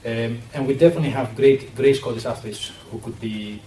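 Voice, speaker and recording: A middle-aged man with a Greek accent talks earnestly in a roundtable discussion, pausing often on fillers and starting sentences again. He is picked up from a distance in a reverberant event room. There is steady background noise behind him. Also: medium pitch. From Greece. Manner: earnest, thoughtful, with frequent fillers and restarts. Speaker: panelist working with athletes. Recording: distant mic in an echoey, noisy room.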